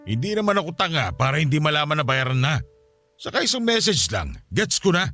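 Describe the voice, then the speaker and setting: A man's voice: fairly high pitch, very animated, brisk, sharp tone, no echo, soft background music, full character voice. A man with a Filipino accent playing an irritable married man who scolds and blames his mistresses in a radio drama. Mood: angry, scolding. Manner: exasperated, scolding.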